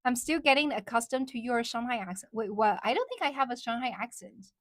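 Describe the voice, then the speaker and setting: A woman's voice: fairly high pitch, lively intonation, a little fast, a bit bright, no room sound, studio quality. Chatty woman livestreamer. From China. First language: Chinese. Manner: chatty, repeats questions aloud, switches between English and Mandarin. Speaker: livestream host. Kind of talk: casual livestream chat reading viewer comments.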